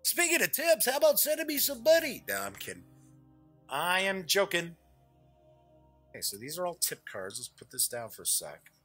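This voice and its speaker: A man's voice: high voice, wildly swooping pitch, moderate pace, sharp tone, soft background music. A man hosting a game show, giving casual, off-the-cuff unboxing commentary in a chatty, jokey way, often muttering asides to himself. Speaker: host of a tabletop game review show.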